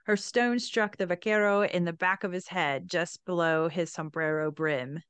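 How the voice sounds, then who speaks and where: A woman's voice: lowish voice, fairly bright tone, clean tone, no room sound. A woman hosting a podcast, retelling and reading aloud from a book with a chatty, enthusiastic manner. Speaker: podcast host.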